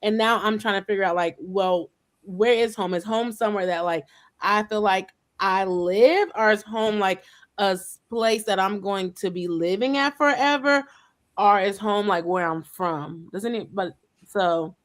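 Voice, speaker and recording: A woman hosting a casual group conversation, telling stories in a chatty way and acting out the dialogue, with relaxed Southern-leaning grammar. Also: mid-range voice, a little slow. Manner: chatty storyteller, acts out dialogue. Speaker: host.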